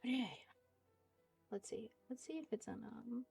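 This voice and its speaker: A woman's voice: a little high, measured pace, vocal fry, a little mellow, slightly rough, soft background music. A woman giving casual livestream commentary as she plays a game, often muttering hesitantly to herself. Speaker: game streamer.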